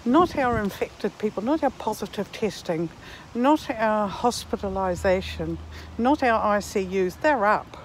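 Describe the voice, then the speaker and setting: A woman in her sixties giving a reflective, halting monologue to herself and her viewers as she walks outdoors. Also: medium pitch, lively intonation, slight background noise, low-quality recording. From Netherlands and New Zealand. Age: 60s. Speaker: vlogger. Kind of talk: reflective walking vlog monologue. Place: outdoors, walking in a park.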